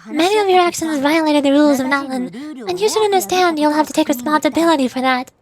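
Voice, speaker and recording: A woman's voice: high voice, fast, crowd noise, natural voice. A woman playing a stern, teasing, proud trickster grandmother in a video game. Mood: stern, composed. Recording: game music behind the voice.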